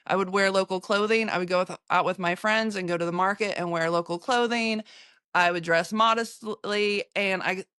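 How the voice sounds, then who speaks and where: An American woman, a photographer, recounting her travels in an interview, halting often with fillers and restarts. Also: mid-range voice, steady tone, a bit bright, smooth, no echo, studio quality. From United States.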